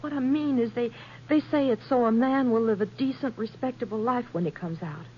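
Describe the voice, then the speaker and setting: An actress playing an anxious, devoted young rancher's wife in a 1950s radio western, earnest and faltering with emotion. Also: female voice, a little high, a little fast, breathy edge. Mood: pleading. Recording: dull, noisy 1950s radio recording.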